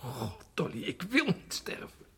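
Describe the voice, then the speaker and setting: A man's voice: medium pitch, huge pitch swings, unhurried, gravelly, a bit bright, husky, full character voice. An actor playing a condemned male prisoner, nostalgic and fearful, in a radio play. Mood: afraid, tense. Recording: noisy old radio play recording.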